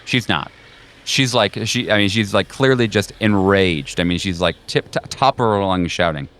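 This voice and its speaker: A man improvising narration as game master in a conversational, halting way. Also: sits low, a bit bright.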